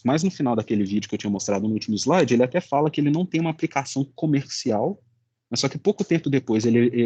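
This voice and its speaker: Young male Brazilian student presenter: husky, informal, halting, very dry close sound. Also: medium pitch, dark tone, no room sound, lo-fi sound. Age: young adult. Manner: halting, searching for words. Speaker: student presenting final project.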